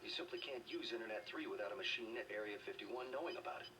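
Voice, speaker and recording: A man's voice: fairly low pitch, quick pace, close to an everyday voice. A man playing a worried, protective middle-aged scientist and leader in a video game, grave and earnest. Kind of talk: dramatic video game voice acting. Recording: noisy, distant-sounding game capture.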